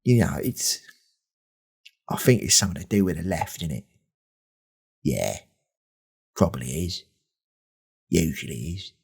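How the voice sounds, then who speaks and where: A man playing a dim, earnest co-host on a parody podcast, rambling and hesitant in a deadpan, husky voice. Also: sits low, unhurried, pauses often, heavily acted. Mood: earnest, puzzled.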